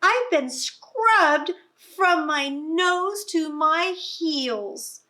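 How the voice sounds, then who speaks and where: Woman putting on a storybook pig, very high and squeaky, loud, excited, squealing. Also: extremely slow, exaggerated voice. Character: squealing pig fleeing the farm.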